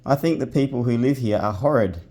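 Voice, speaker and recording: A man's voice: mid-range voice, expressive, fairly quick, warm tone, lightly acted. A man voicing an upset, sulky little girl in a storybook reading, crying out and complaining.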